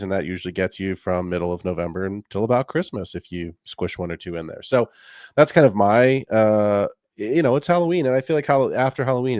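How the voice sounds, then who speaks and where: Male podcast host, lower pitch, friendly rambling chat, dry close mic. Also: darkish tone, no echo. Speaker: fantasy football podcast host. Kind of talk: casual podcast talk.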